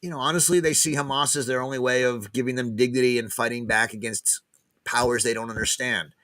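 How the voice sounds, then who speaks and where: A man, a guest professor, talking casually in an interview, pausing and repeating words as he thinks aloud. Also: medium pitch, steady tone, fairly bright tone, dry recording. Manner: hesitant, many restarts and repeated words.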